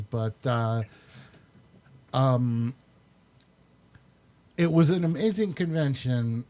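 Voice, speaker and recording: A man hosts a casual podcast in a soft, relaxed voice. He takes his time, pausing and hesitating as he finds his words. His pitch sits in an ordinary male range. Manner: halting, hesitant delivery. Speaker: podcast host. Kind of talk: casual chat.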